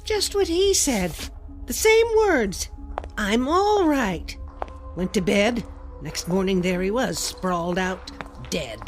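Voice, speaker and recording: Preachy old widow, swooping voice. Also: female voice, mid-range voice, very animated, takes it slowly, faint music behind. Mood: ominous, nosy. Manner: preachy, fervent, folksy, insistent. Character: pious, preachy old widow pressing strangers in the subway. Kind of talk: radio drama acting.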